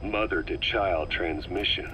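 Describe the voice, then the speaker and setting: A stern military intelligence officer for a game drama. His voice is very deep, and he speaks in terse, probing, matter-of-fact phrases. Clear background noise sits behind him. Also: unhurried, pushed and bright, rough recording. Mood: pondering. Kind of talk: dramatic game voice acting, interrogating dialogue.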